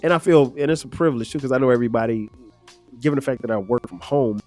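Man in his 50s, podcast host, a bit nasal and stuffy, easygoing rambling talk, mid-pitched voice, soft music underneath. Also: fast. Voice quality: slightly nasal, stuffed-up from sinus trouble. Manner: relaxed, rambling, frequent fillers and restarts. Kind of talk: casual solo podcast reflection.

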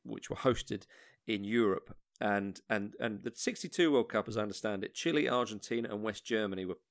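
A man hosting a football podcast, chatting casually in a halting way with many restarts. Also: on the low side. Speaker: podcast host. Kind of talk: casual chat.

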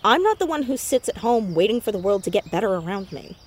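A woman playing a determined, defiant teenage girl in a naturalistic audio drama, brisk and dryly sarcastic. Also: medium pitch, sing-song. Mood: stubborn, challenging.

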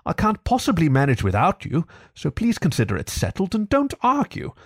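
A man voicing a boastful, excitable male toad from a storybook, with a pompous, gushing, theatrical manner. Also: mid-range voice, measured pace, no room sound, clean studio sound. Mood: imploring, insistent. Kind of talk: storybook character acting.

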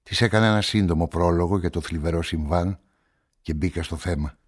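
A man acting as an inquisitive journalist who narrates a radio drama in a measured, probing manner. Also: low voice, husky. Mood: measured, reflective. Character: inquisitive male journalist investigating a murder, narrating his story. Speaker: narrator and lead actor.

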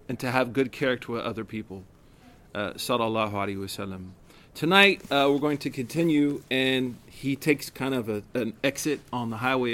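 Male study-circle teacher, deep voice with a cold, casual lecture with fillers, faint ambient hum. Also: fairly bright tone. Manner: conversational, with many fillers and restarts. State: has a cold. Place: community center.

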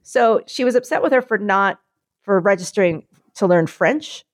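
A woman chatting casually, telling a story and acting out quotes in a higher voice. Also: moderate pace, no room sound, studio quality. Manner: animated, acts out quotes in higher voice.

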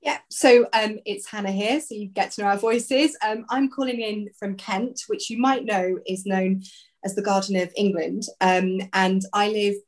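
A woman's voice: mid-range voice, steady tone, moderate pace. A woman from South Wales, a guest on a podcast, chatting cheerfully over a video call with plenty of ums. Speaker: guest, job-sharing coach. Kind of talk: casual interview chat.

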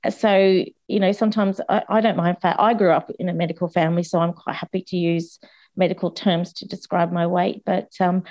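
A woman speaking as a guest on a discussion panel, candid and chatty, with a voice still slightly croaky from a cold. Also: fairly low pitch, level tone, brisk, a little mellow. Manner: candid and chatty, with frequent restarts and laughs.

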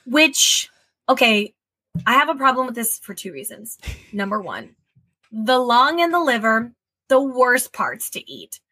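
A woman's voice: on the high side, big pitch swings, measured pace, pushed and bright, slightly rough. A young woman co-hosting a casual podcast, reacting with emphatic jokes. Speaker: podcast co-host.

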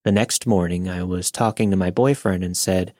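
Brief: low male narrator, calm scripted reading, close dry mic. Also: normal speed, dry recording. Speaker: podcast narrator. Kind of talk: scripted narration of a listener's story.

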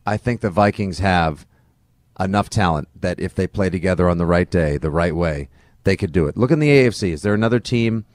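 Lowish guy voice doing casual football banter, slangy, punchy, really dry sound. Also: no room sound. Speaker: sports podcast co-host.

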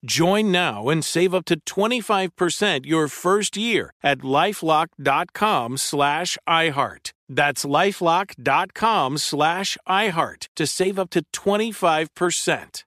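A man reading a commercial in an earnest, smooth announcer manner. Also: fairly high pitch, lively intonation, slow pace, hardly any pauses, fairly bright tone, no echo, studio quality. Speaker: ad reader. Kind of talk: commercial read.

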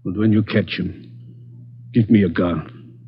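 A bitter old father in a 1940s radio play, speaking slow, broken English with a husky, breathy voice. The sound is dull and muffled, like an old radio recording. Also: lowish voice, fairly even intonation. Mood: grave, bitter. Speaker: grieving father.

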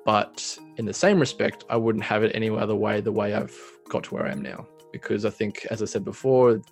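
A man's voice: on the low side, fairly quick, faint music behind. A man in his thirties, a small business owner, talking reflectively in a casual interview with many fillers. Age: 30s.

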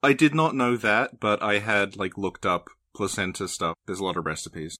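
A man's voice: lowish voice, normal speed, bright, forceful tone. A man co-hosting a podcast, chatting casually and agreeing often. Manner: agreeable, backchannels a lot. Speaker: podcast co-host.

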